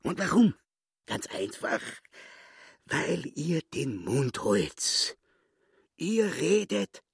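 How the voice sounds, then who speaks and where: A histrionic little swindler boss in a radio play, self-pitying and wheedling. The voice creaks and rasps, drawing words out slowly in a very dry, close studio sound. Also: male voice, on the high side, very animated, no room sound, full character voice. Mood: bossy, cajoling. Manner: theatrical, wheedling, emphatic pauses.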